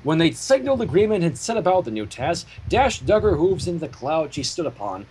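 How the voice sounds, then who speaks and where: A man's voice: on the high side, sing-song, brisk, a little mellow. A man reading fan fiction as a narrator with casual asides, on a slightly noisy home recording.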